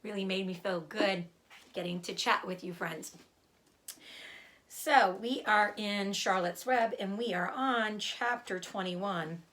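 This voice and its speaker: A woman reading a children's story aloud as host, with expressive storybook narration. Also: medium pitch, takes it slowly.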